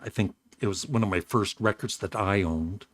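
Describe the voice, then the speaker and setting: Older male host, slightly rough voice, low, dry close sound, rambling casual chat. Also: normal speed, no echo. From Canada. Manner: rambling, self-correcting, warm chuckles. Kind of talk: casual vlog talk.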